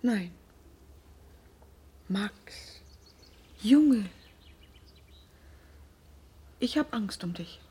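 An anxious middle-aged mother in a radio drama. Her voice is extremely breathy and slow, hushed and hesitant, full of protective, imploring fear. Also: medium pitch, animated, heavily acted. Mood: fearful. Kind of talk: German radio drama acting.

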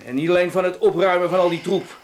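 An indignant cinema proprietor played by a male actor in a radio drama, high-voiced and flustered. He stammers and repeats himself as he complains, over a noticeably hissy, clicky recording. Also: steady tone, fast talker, natural voice. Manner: agitated, stammering, repetitive complaining.

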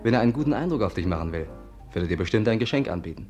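Actor as a low-voiced, persuasive gentleman sleuth. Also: lively intonation, very fast, lightly acted. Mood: coaxing. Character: smooth, persuasive male amateur sleuth coaxing his sweetheart. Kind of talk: 1950s German radio drama acting. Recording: 1950s radio recording, music behind.

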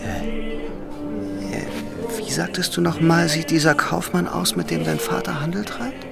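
A man's voice: fairly high pitch, a little slow, gravelly, over loud music. An actor playing a cautious, anxious young man in a German radio play, speaking in urgent, hesitant warnings. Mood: probing, urgent. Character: cautious, anxious young man, loyal companion to a reckless girl.

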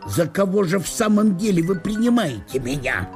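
An actor playing a blunt, obstinate provincial landowner, grumbling gruffly and incredulously in a theatrical comic audio monologue. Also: male voice, high-pitched, big pitch swings, moderate pace, a little mellow, faint music behind.

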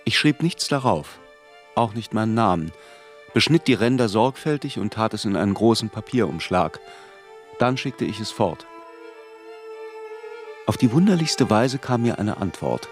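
A reflective storyteller narrating his own youth. His delivery is measured and literary, in a lowish voice. Faint music sits behind it. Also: very animated. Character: reflective male narrator recalling his dreamy schoolboy youth. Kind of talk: literary first-person narration.

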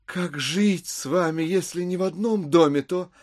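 An actor playing an ingratiating gentleman schemer who declaims with florid courtesy in a classic audio drama. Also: high-pitched, normal speed, dry recording, studio quality, natural voice. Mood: courtly, flattering. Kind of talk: theatrical Russian classic audio drama acting.

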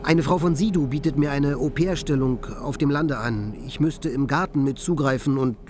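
An actor playing a struggling young poet, ironic and self-questioning, in a radio play. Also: male voice, mid-range voice, normal speed, darkish tone, close to an everyday voice. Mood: reluctant. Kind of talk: radio play acting with inner monologue.

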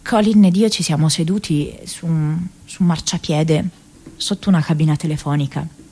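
An actress playing a restless, ironic young woman activist in a radio drama, reading her diary in an expressive, hesitant, conversational way. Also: deep voice, moderate pace, husky. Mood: wry, reflective. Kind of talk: theatrical radio monologue, intimate diary reading.

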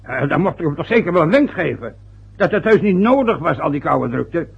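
Aging father, stubborn and sarcastic, gravelly voice, lo-fi radio drama. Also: fairly high pitch, big pitch swings, fairly quick, full character voice. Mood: defensive. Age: older. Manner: grumbling, sarcastic. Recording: dull lo-fi old radio recording.

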